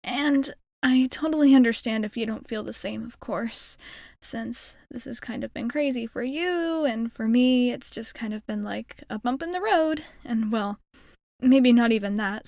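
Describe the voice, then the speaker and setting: A woman's voice: a little high, creaky, darkish tone, no room sound, clean studio sound. A woman playing a shy, kind young alien girl in an intimate ASMR roleplay, speaking in a hesitant, earnest, rambling way.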